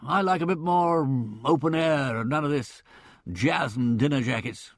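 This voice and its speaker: A man voicing a blustering, boorish middle-aged country squire, hearty and loud in manner. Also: a little high, moderate pace, no echo, studio quality, close to an everyday voice.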